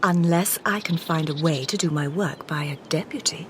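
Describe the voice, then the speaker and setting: An actress in a Victorian radio drama playing a shrewd, composed lady detective with dry wit, measured and crisp, dropping into low confiding asides. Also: slight background noise, lightly acted.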